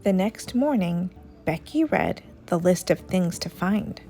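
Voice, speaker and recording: A woman reading a children's story aloud as an expressive storyteller. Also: on the low side, unhurried. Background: soft music.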